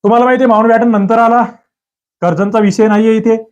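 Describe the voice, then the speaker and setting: A man giving a quiz-style exam-prep lecture, firing questions and demanding quick answers from his students. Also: fairly even intonation. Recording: muffled low-fidelity recording.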